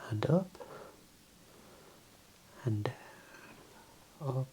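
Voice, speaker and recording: A man whispering slow, gentle instructions in an ASMR medical exam role play, softly praising between steps. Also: medium pitch, lively intonation, a little hiss or hum, poor audio. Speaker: ASMR creator playing a clinician.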